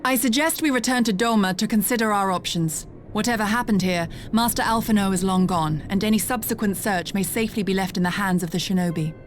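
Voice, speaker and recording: A woman playing a keen-eyed, level-headed female warrior scout in a video game, speaking formally and deliberately. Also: mid-range voice, natural voice. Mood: calm, decisive. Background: faint game music.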